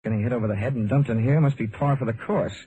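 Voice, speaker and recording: A man's voice: medium pitch, fast, warm tone, muffled recording, slight background noise, lightly acted. Guy playing cynical radio private eye, dry wisecracks, mid-range voice, old detective show sound. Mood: sardonic. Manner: wry, sardonic. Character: wisecracking hardboiled male insurance investigator, cool and cynical. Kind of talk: old-time radio detective acting and narration. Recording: vintage radio recording.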